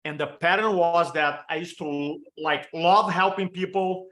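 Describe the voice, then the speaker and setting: A man in his fifties from Brazil with a Brazilian Portuguese accent, giving an energetic storytelling training talk over a video call and stacking quick lists of examples. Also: on the high side. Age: 50s. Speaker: motivational speaker and storytelling coach.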